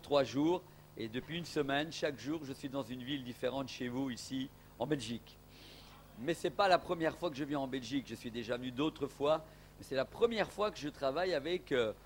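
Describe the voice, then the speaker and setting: A man's voice: mid-range voice, slight background noise. A man from Portugal preaching a sermon as an evangelist in a church, emphatic, with rising exclamations.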